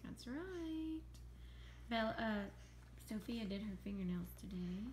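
A woman's voice: mid-range voice, expressive, unhurried, darkish tone. A woman in her thirties with a Southern American accent, a mother filming her daughters on a phone in a living room and chiming in with extra details in a casual family chat. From Southern United States. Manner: chatty, chiming in to add details. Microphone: handheld phone camera, speaker off-screen. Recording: echoey room with faint noise.

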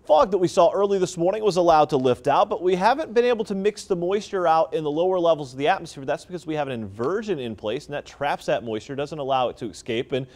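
A middle-aged man giving a chatty, brisk weather forecast in a TV studio. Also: on the high side, lively intonation, darkish tone. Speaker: TV meteorologist.

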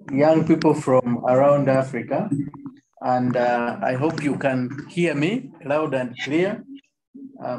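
A man's voice: medium pitch, darkish tone, poor audio. A man presents a director's summary at an online launch over a video call. His voice sits in a middle register, and his delivery is hesitant, broken by frequent fillers. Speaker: organization director.

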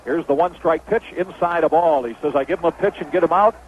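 A man's voice: a little high, fast talker. An American man calling a baseball game as a play-by-play announcer in 1970s radio style. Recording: dull, noisy old AM radio broadcast.

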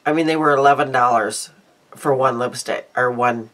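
A woman's voice: extremely low pitch, normal speed, fairly bright tone. An older woman chatting casually to her webcam in a small room at home, rambling and restarting her sentences, her nose congested. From Midwestern United States. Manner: rambling, self-interrupting, chatty. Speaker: beauty vlogger. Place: small cluttered room indoors. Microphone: webcam at desk.

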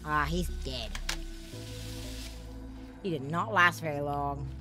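A woman giving let's play commentary in a rambling, filler-heavy way. Her voice often cracks, and she jumps into loud startled bursts. Game music plays clearly behind her. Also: deep voice, takes it slowly. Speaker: gaming streamer.

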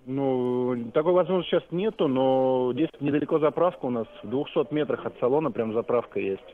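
A man's voice: mid-range voice, brisk. A man working as a car salesman, speaking politely and explaining in a businesslike way over a narrow phone line. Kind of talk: customer phone call. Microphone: telephone.

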